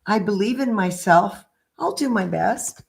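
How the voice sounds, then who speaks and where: A middle-aged woman in a gentle read-aloud style with casual, chatty asides and a halting, unhurried manner. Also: mid-range voice, animated, creaky. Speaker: spiritual healer reading a picture book aloud.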